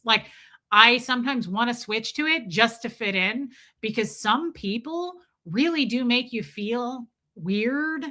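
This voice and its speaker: A woman's voice: a little high, pushed and bright. A woman with a Southern accent, hosting a casual livestream and chatting with viewers by name. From Southern US, near Nashville. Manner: chatty, answers viewers by name, frequent restarts. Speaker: livestream host. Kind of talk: casual live chat reading comments.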